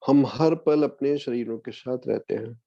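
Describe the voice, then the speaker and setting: A man guiding a meditation as a spiritual discourse, speaking in a measured way with long pauses. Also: mid-range voice, gravelly, dark tone, no echo. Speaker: meditation guide. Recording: dull, lo-fi, dry audio.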